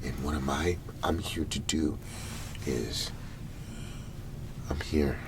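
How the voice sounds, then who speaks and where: A hesitant, reassuring government agent, played by a man for an ASMR roleplay. The voice is extremely deep and slow, slipping between creaky murmur and soft whisper. The delivery is halting and careful, placating the listener with long pauses. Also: steady tone, noisy background, exaggerated voice. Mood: earnest, reassuring. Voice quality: soft, breathy half-whisper with gravelly low murmur.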